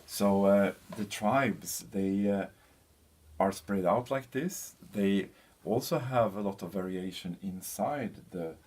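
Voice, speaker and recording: A man presenting an informal slide lecture as a teacher, speaking in a hesitant, halting way with frequent pauses. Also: lowish voice, a little mellow. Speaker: university lecturer presenting a lesson. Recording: clear background noise.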